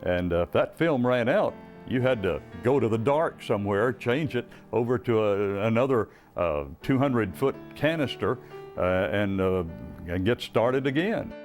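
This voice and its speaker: A man's voice: mid-range voice, animated, a little slow, soft background music. An American man in his seventies, a veteran broadcaster, reminiscing in unscripted talk. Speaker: veteran former broadcaster, guest.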